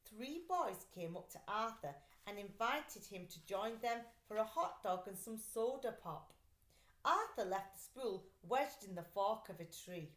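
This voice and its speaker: A woman's voice: medium pitch, measured pace, reverberant. A woman reading a children's story aloud like a teacher, expressively and with dramatic delivery. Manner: animated, dramatic character voicing in dialogue.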